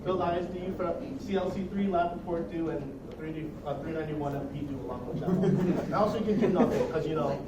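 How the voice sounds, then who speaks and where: High-voiced young man in a noisy echoey room. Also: fast talker, far from the mic. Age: young adult. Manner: casual, rambling, jokey. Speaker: student presenter. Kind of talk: informal project demo presentation.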